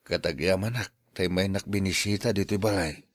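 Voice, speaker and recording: A man's voice: sits low, moderate pace, dry recording, clean studio sound. A man acting in a Filipino radio drama as a stubborn, irritable stroke patient, grumbling and protesting. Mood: weary, downcast.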